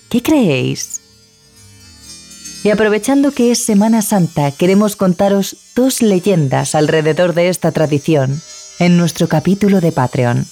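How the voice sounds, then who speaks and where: Woman podcast host reading her script, lowish warm dark voice, faint music under it. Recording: music bed behind the voice.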